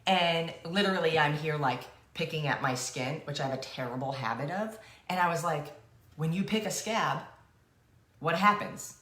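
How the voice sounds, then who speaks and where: A woman's voice: deep voice, lively intonation, normal speed, fairly bright tone, roomy sound. A woman co-hosting a coaching show, chatting casually and rambling off on playful, self-deprecating tangents.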